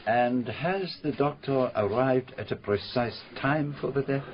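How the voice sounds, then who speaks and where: An actor playing a shrewd, courteous, playful foreign detective in a radio drama, with polite, formal phrasing. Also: male voice, medium pitch, a little slow, lightly acted. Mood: probing, courteous. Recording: dull lo-fi radio drama audio.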